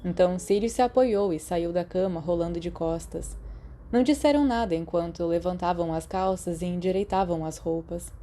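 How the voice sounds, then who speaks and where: Mellow, lowish Brazilian woman narrating a story. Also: normal speed, a little hiss or hum. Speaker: audiobook narrator. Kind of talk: expressive scripted story narration.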